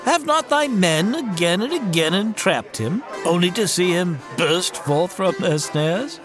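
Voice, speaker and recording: A man's voice: sits high, expressive, unhurried, music in the background. A man playing a reproachful medieval Lord Chancellor in an audio drama, formal and theatrical in archaic English.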